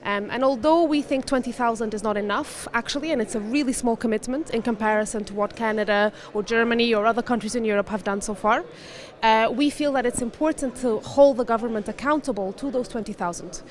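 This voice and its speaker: A woman's voice: on the high side, fairly quick. Articulate woman. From Portugal. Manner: articulate, explanatory. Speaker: refugee volunteer. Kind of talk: interview answers.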